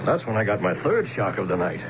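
An American actor playing a weary, rattled commuter husband in a 1970s radio drama, both narrating and speaking with hesitant, exasperated politeness. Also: male voice, lowish voice, very animated, fast, noisy background. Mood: uneasy storytelling. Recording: dull 1970s radio broadcast, music behind.

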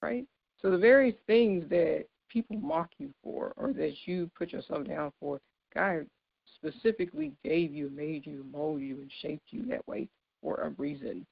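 Deep-voiced woman with gravelly texture. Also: expressive, normal speed, no echo, lo-fi sound. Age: 30s. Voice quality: deep, low voice. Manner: conversational and earnest, laughs between points. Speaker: speaker giving a devotional talk. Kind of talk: informal devotional sermon.

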